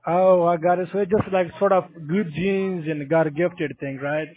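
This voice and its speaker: Polite call center agent on a phone line. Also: male voice, high voice, steady tone, moderate pace. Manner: polite, agreeable, repeats "exactly". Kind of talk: scripted sales call.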